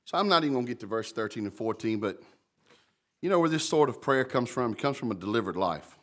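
A pastor delivers a sermon in a big, booming voice. He swings from loud emphatic lines to hushed, warm asides. His tone stays conversational, and he addresses congregants directly by name. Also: fast. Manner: lively, conversational preaching, calls out congregants by name. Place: church.

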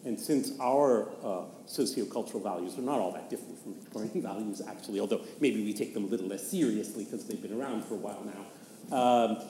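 Dark soft-toned guy, halting n wry, kinda scholarly chat, echoey hall w faint noise. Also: on the low side. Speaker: panelist, literary commentator. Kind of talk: informal scholarly discussion.

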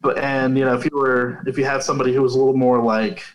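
A man's voice: mid-range voice, flat delivery, quick pace, rough recording. Easygoing male podcast co-host. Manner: easygoing, agreeable, chatty with frequent fillers. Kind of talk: casual podcast discussion.

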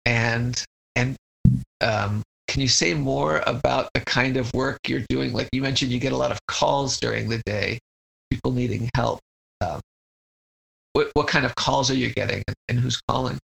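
A man asks an interview guest probing questions, often starting his sentences over. His voice has a gravelly fry and a bright, forceful edge. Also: mid-range voice, moderate pace, rough recording.

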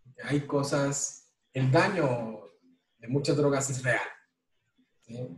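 A man's voice: mid-range voice, takes it slowly. Chatty man in an echoey room. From Mexico. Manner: relaxed, chatty, repeats words while thinking. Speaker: economics professor and guest. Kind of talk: conversational interview.

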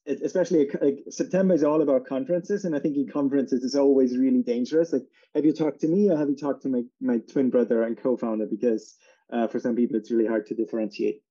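A man from Austria talks as a guest, explaining his company in a relaxed way with many fillers. His voice is deeply mellow and dark in tone. The recording sounds muffled and lo-fi. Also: quick pace. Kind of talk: casual interview talk.

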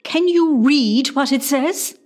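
A woman playing a stern, bossy ward nurse in a comedy radio play, with a scolding, sarcastic, deliberate manner. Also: high-pitched, a little mellow.

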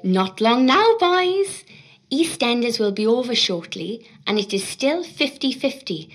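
An actress playing an upbeat television presenter giving announcements in a husky voice. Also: female voice, on the high side, very animated, unhurried, faint music behind. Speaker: TV show presenter. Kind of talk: show announcement.

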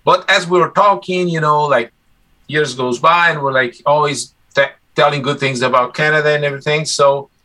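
A man from Brazil, Portuguese his first language, talks in English with a bright, clear tone. He is relaxed and chatty, often restarting his sentences, and laughs easily as he tells his story in a casual interview. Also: fairly high pitch, moderate pace, poor audio. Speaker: interview guest, musician.

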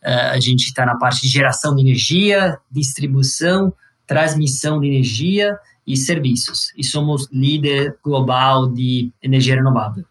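A man's voice: mid-range voice, moderate pace. A man from Sicily with an Italian accent, a native Italian speaker, explaining as an interview guest and often adding small tag questions. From Sicily, Italy. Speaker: guest, corporate venture executive.

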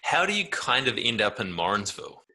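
A man's voice: medium pitch, sing-song, brisk, sharp tone. A man hosting a podcast, talking in an easygoing, rambling way as he introduces his guests. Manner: easygoing, rambling with small restarts. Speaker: podcast host. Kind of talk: relaxed podcast interview.

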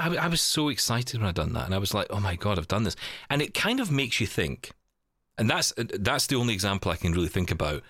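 A man with a very deep voice hosts a casual podcast chat. His pitch swings around in an animated way as he rambles through his stories. The sound is dry and close. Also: dry recording. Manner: chatty, rambling, animated storytelling. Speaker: podcast host and producer.

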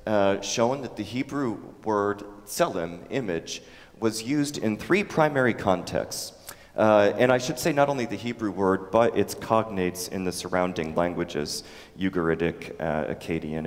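Deep-voiced man lecturing in a hall. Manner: halting, many ums and restarts. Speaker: teacher. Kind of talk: theology lecture with audience questions. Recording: echoey hall with long reverb.

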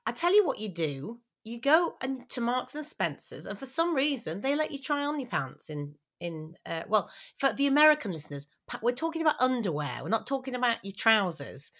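A woman's voice: fairly high pitch, very animated, brisk, few pauses, fairly bright tone. A woman and former opera singer co-hosting a casual podcast, chatty and emphatic as she explains things. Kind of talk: casual chat.

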